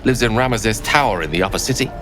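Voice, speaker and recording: An actor playing a courteous, genial adventurer in a video game, polite and eloquent. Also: male voice, lowish voice, quick pace, natural voice. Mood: politely curious. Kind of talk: video game character acting. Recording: music playing behind.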